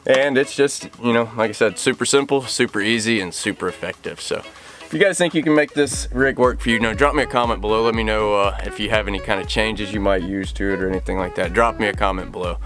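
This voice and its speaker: Man, 30s, low voice, easygoing rambler, outdoors, faint music under. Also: fast, fairly bright tone. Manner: easygoing, rambling with many fillers. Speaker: fishing guide and channel host. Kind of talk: casual tips vlog. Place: outdoors by a pickup truck bed.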